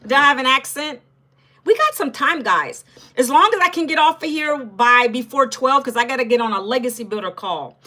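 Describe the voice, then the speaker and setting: A woman's voice: high-pitched, lively intonation, brisk, bright, forceful tone. A woman in her fifties from Northern Virginia, chatting casually on a livestream and talking straight to her viewers. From Northern Virginia, USA. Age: 50s. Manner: chatty, talks straight to viewers and reads their comments aloud. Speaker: livestream host.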